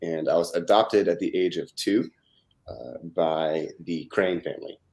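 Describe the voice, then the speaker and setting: A man in his twenties from Russia, answering interview questions in a hesitant, halting way with many fillers. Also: low-pitched, darkish tone. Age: 20s. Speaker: interview guest.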